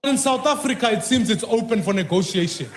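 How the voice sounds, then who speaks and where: A man's voice: high voice, animated, a little fast, noisy background. A man questioning the president in a parliamentary debate, pointed and insistent. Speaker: opposition politician questioning the president. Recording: echoey parliament chamber.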